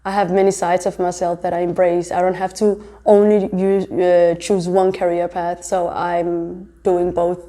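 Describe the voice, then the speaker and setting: Mellow, dark-voiced young woman from Denmark. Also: lowish voice, flat delivery, measured pace, hardly any pauses. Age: young adult. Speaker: interviewee, fashion designer and entrepreneur. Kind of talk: casual interview talk.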